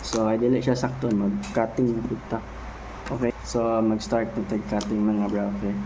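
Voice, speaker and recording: A man's voice: lowish voice, level tone, normal speed, noisy background. A man with a Cebuano Filipino accent chatting casually in a product vlog, thinking aloud in short, informal fragments with tag questions. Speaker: motovlogger, host. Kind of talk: casual unboxing and product feedback vlog chat.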